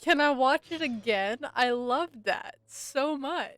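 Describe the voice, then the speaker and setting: A woman's voice: high-pitched, expressive, slow pace, fairly bright tone. A woman chatting casually and enthusiastically as she streams a game. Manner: gushing, enthusiastic. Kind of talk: casual livestream gaming chat. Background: game music.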